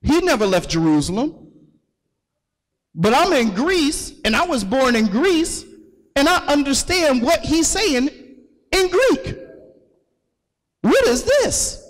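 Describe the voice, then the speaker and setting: High-voiced preacher in an echoing hall. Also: male voice, sing-song, takes it slowly, long pauses. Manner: calls for readings and repeats the verses back to the congregation. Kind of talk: sermon teaching from scripture. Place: large hall at a religious gathering. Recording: long echo of a large hall.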